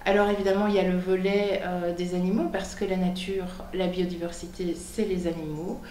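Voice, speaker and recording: Femme candidate engagée, studio résonnant. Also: female voice, on the low side, little pitch movement, brisk, darkish tone, reverberant, slight background noise. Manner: earnest, committed, with frequent fillers. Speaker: political candidate. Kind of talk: spontaneous speech. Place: television studio in Brussels.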